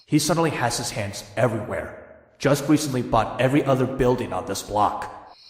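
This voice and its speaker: A man's voice: mid-range voice, animated, brisk, lightly acted. Wry young antihero narrator played by a man, echoey. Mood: wry narration. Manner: wry, sardonic, conversational. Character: wry, brash young antihero narrating his crime story. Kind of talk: first-person scripted narration in motion comic.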